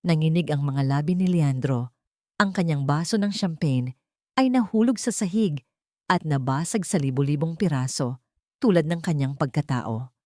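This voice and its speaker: A woman's voice: moderate pace, a little mellow, dry recording, clean studio sound. A woman with a Filipino accent narrating a dramatic audio story in the first person, lifting her voice for the characters' lines. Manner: rises in pitch and volume for quoted dialogue.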